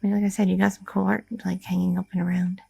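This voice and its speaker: A woman's voice: medium pitch, little pitch movement, fast talker, gravelly, mellow, breathy edge, no room sound, soft background music. A woman voicing a curious, chatty young girlfriend in an ASMR roleplay, rambling with many fillers and nervous questions. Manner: rambling, inquisitive, filler-heavy talk.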